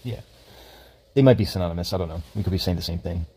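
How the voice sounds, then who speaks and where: A deep-voiced man with a husky, mellow tone, talking loosely and circling back on his words. He keeps correcting himself mid-sentence and tends to laugh as he goes. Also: fast talker. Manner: rambling, self-correcting, laughs often. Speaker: podcast co-host. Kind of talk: casual faith chat.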